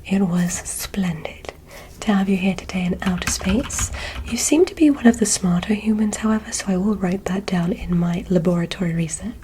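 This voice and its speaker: Breathy whispering asmr lady acting as a curious polite alien examiner, soothing, noisy background w typing and clicks. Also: mid-range voice. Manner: slow, gentle, soothing bedside delivery. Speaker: ASMR performer.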